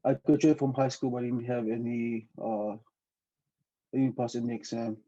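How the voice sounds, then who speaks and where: Young man from Tonga, Tongan first language, hesitant and laughing, very dark mellow tone, muffled lo-fi sound. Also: mid-range voice, level tone, normal speed. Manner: hesitant, many fillers, laughs often. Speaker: podcast guest, student pilot. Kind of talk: casual interview storytelling.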